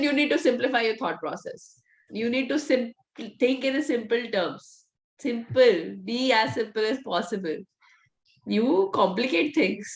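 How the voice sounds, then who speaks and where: Hoarse woman teaching coding. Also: mid-range voice, low-quality recording. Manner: direct, coaching, laughs often. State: voice nearly gone, hoarse. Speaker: VBA trainer. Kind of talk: live online class, teaching and answering questions.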